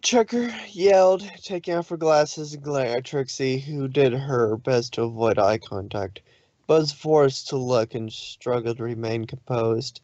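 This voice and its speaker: Guy reading a story out loud, dramatic narration, dry kinda dull sound. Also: mid-range voice, measured pace, dry recording. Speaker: fan fiction reader, narrator.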